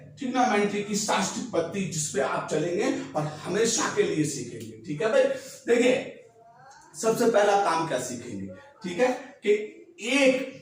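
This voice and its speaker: High-pitched animated male teacher, very echoey. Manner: repetitive, emphatic explaining with rhetorical questions. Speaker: maths teacher. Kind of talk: spoken classroom lesson. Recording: very echoey room, distant mic.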